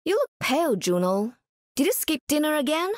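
An actress playing a kind, upbeat young scientist who talks like a caring aunt, with a gentle lilting manner. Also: female voice, high voice, very animated, moderate pace, no room sound, clean studio sound. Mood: caring, chiding.

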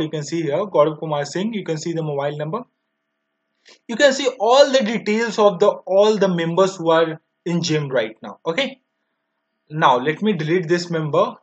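A man in his twenties with an Indian accent, narrating a step-by-step software tutorial in a halting, repetitive way. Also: sits high. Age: 20s. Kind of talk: step-by-step screen demo narration. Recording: dull lo-fi microphone sound.

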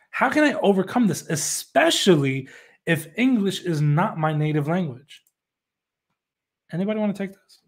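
Husky-voiced man moderating a panel. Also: high voice. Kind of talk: casual panel chat. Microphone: video call.

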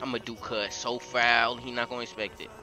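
A man's voice: medium pitch, little pitch movement, a little fast, over music. A man trash-talking during an online role-play game, in muffled stream audio.

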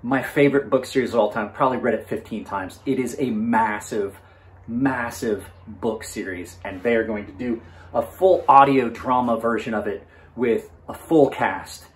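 A man's voice: mid-range voice, normal speed, slightly rough. A man in his forties reviewing gear in a casual, unscripted way, chatting informally to his viewers as host. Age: 40s. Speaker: knife reviewer and channel host.

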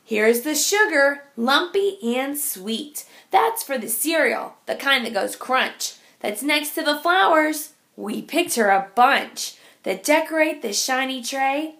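A woman reading a rhyming picture book aloud to young children in a deliberate, sing-song way, like a teacher. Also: sits high, lively intonation, a bit bright.

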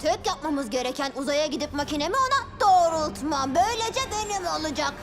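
Lady doing a show-off kid villain, high and bouncy, gloating, music under it. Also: lively intonation, a little fast. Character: boastful boy genius villain. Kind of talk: dubbed cartoon acting. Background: music score.

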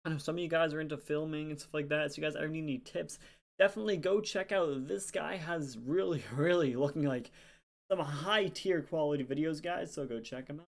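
A tired young man, rambling casually through channel shoutouts into a desk webcam in a small room. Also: a little high, fairly quick, gravelly. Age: young adult. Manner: rambling, chatty, self-deprecating. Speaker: YouTuber.

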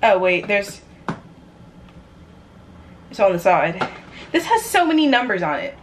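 A young American woman talks casually to a camera in her home kitchen, with a faintly noisy room behind her. Her delivery is chatty and playful with a chaotic streak, at a middling pitch for a woman. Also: very animated, measured pace. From New York, United States. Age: young adult. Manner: playful, chatty, self-described chaotic energy. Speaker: vlogger. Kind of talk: casual cooking vlog chat to camera. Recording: clear background noise, room ambience.

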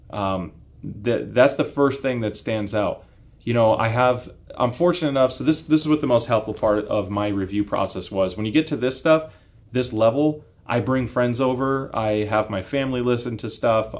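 A man's voice: lowish voice, darkish tone. A man around his late thirties or early forties giving a casual, explanatory product review in a conversational way. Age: 30s to 40s. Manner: conversational, explanatory, uses analogies. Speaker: audio equipment reviewer. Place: indoor home listening room, seated.

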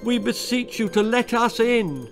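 A high, wailing storybook traveller begging desperately , as put on by a male reader. The pleading is urgent and beseeching, with music behind it. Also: animated, unhurried, heavily acted.

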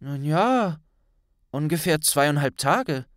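A man voicing an earnest young witch, careful and gentle, in a fantasy audiobook. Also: a little high, expressive, slow pace, no room sound, clean studio sound, voice pushed up. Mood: explaining.

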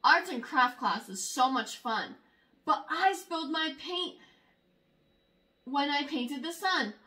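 A young woman reading a children's picture book aloud in an expressive, exaggerated storytelling way. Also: sits high, takes it slowly. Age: young adult. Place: small room at home, indoors.

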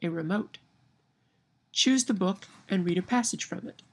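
A woman's voice: mid-range voice, moderate pace, breathy edge. A woman from Kansas with a Midwestern accent and a nasal twang, reading a word list and a novel passage aloud to a webcam at home. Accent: Midwestern American. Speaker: vlogger. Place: home room by window blinds, indoors. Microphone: webcam at arm's length.

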